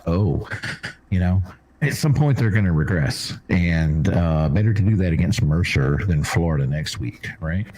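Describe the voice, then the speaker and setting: Deep-voiced measured podcast co-host. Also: male voice, darkish tone, low-quality recording. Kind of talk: casual sports chat.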